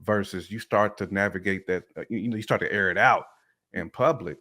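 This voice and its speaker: A man's voice: deep voice, a little fast, pushed and bright. A man chatting casually on a podcast, giving opinions in informal, slangy, emphatic phrases. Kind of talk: casual chat, opinionated commentary.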